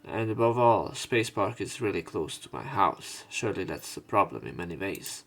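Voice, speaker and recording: A man's voice: low-pitched, expressive, moderate pace, creaky, fairly bright tone. A man narrating a visual novel as a let's play, reading the story text aloud.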